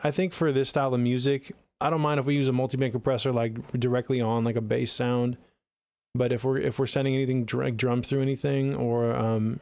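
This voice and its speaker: A man's voice: medium pitch, a little mellow, dry recording. A man hosting a livestream, giving casual music feedback and chatting with viewers in laid-back, slangy talk. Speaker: livestream host reviewing submitted music.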